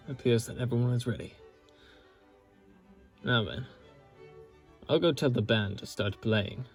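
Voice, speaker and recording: A man playing a loyal young knight in love with his princess, speaking gently and earnestly with halting pauses in a romantic audio roleplay. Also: mid-range voice, close to an everyday voice. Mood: proud, eager. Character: loyal young knight, the princess's tender childhood-friend lover. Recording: orchestral music behind the voice.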